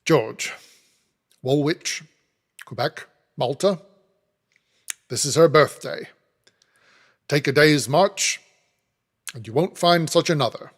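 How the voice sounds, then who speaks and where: A man voicing a terse, wooden old artilleryman who speaks in clipped, loud phrases. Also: fairly high pitch, slow pace, long pauses. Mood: proud. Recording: reverberant room.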